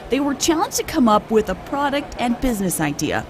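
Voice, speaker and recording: A woman's voice: a little high, sing-song, brisk, a little hiss or hum. A woman narrating a scripted local television news feature as a reporter.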